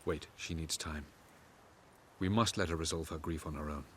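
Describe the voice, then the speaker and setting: Aloof, low-voiced elf sage. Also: male voice, steady tone, slight background noise. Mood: commanding. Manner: measured, haughty, formal. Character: ancient aloof male elf sage, stern and condescending. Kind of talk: video game voice acting.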